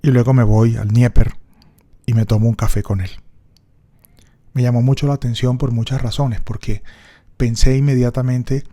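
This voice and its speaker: A middle-aged Colombian man with a Colombian Spanish accent, telling a personal story in a thoughtful, unhurried reflective monologue. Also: medium pitch, fairly even intonation, warm tone. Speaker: speaker recording a personal reflection.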